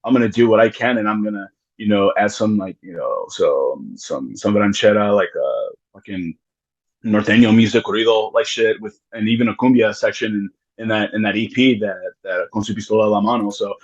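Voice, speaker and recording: A man with a lowish, husky voice talks loosely and casually, circling back on himself with restarts and swearing. The sound is dull and lo-fi. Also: a little fast. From United States. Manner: rambling, frequent restarts and swearing. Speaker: musician guest. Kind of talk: casual podcast conversation.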